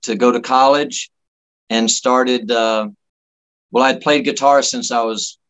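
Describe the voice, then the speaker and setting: A man from Miami, Florida, a guest musician telling casual anecdotes in a halting, folksy, jokey way. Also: mid-range voice, steady tone, fairly bright tone, clean tone, poor audio. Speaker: guest musician, singer-songwriter.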